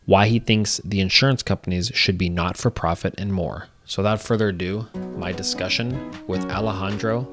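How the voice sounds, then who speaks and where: A man's voice: deep voice, steady tone, moderate pace, few pauses, loud music behind the voice. A man hosting a podcast, reading a scripted intro and then chatting with a guest, hesitant with many fillers. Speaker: podcast host.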